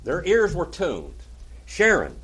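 A man's voice: on the high side, huge pitch swings, slow, fairly bright tone, slight background noise, rough recording. Oklahoma-accented preacher quizzing his class. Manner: folksy, asks the class questions by name. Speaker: pastor. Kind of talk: interactive Bible teaching.

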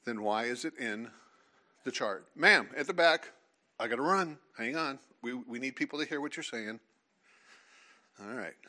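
A man leading a seminar before a live audience, talking in an animated, teasing way and calling on listeners. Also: mid-range voice, normal speed, pauses often. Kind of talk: lively creationist talk with audience.

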